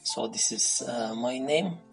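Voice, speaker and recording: A man with a Turkish accent who speaks English as a second language, answering interview questions in an unhurried, searching way. He pauses often on fillers and restarts his sentences. Soft guitar plays faintly behind him. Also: mid-range voice, gravelly. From Turkey. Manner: hesitant, many fillers and restarts.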